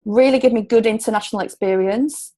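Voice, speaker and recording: A woman's voice: fairly high pitch, a little fast, mellow. A woman in her thirties with a Yorkshire accent, talking easily as a guest in a casual interview. She chats freely and laughs often. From Hull, Yorkshire, England. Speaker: guest, relocation coach.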